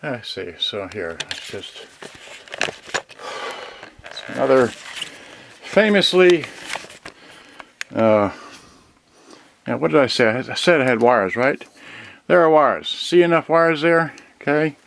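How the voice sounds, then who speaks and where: A man's voice: medium pitch, lively intonation, takes it slowly, vocal fry, pauses often. A man giving a casual, unscripted unboxing as host, rambling and thinking aloud, often trailing off mid-thought. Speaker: host of an electronics unboxing channel. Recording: noisy home video with clicks.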